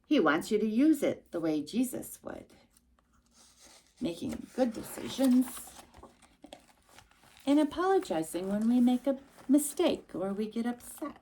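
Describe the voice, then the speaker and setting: A woman reading a rhyming picture book aloud to a young child as a storytime host, expressive and emphatic, with little asides to the listener. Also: fairly high pitch, unhurried, pauses often, slight background noise.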